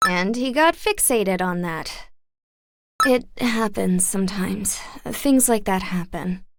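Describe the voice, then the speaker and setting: An actress playing a singer who talks in a soft, placating, pleading way, torn between her husband and an old friend. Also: mid-range voice, animated, slow, no echo, studio quality.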